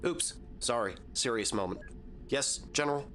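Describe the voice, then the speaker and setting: Woman acting a cheeky, bubbly young soldier, very lively. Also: a bass voice, moderate pace, a little hiss or hum. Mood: playful. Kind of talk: scripted audio drama acting.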